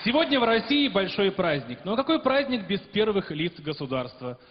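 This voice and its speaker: A man's voice: high-pitched, very animated, moderate pace, a faint crowd. A man giving a formal introduction as a stage announcer. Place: large TV theatre stage, handheld microphone. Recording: dull, reverberant, faintly noisy hall capture.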